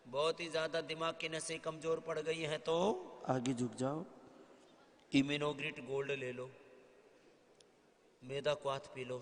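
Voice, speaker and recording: A man's voice: on the high side, a bit bright. A middle-aged man speaking as a yoga guru, preaching health advice to a live gathering in an emphatic, exhorting manner. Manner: exhorting, emphatic, listing remedies. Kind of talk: sermon-like health talk to a live audience. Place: large hall, live gathering. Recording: echoey large hall sound system.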